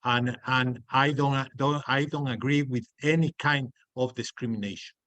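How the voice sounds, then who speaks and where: A man from Ecuador, answering as a candidate on a video call, halting and repeating his words. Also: medium pitch, steady tone, a bit bright, no echo. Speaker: political candidate.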